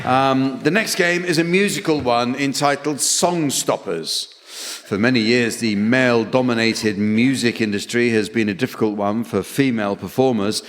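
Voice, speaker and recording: A man chairing a comedy panel show, delivering scripted comic material in a deadpan way. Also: mid-range voice, normal speed. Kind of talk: scripted comic hosting with dry asides. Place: theatre stage before a live audience. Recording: hall reverb, audience and background noise.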